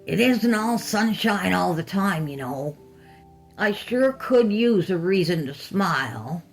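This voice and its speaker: Creepy clownish kids' TV host played by a woman, coaxing storybook villain, swooping delivery over soft music. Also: mid-range voice, animated, takes it slowly, pitched above the performer's own voice. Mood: glum. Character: clownish TV cartoon host, male, coaxing and eerily cheerful.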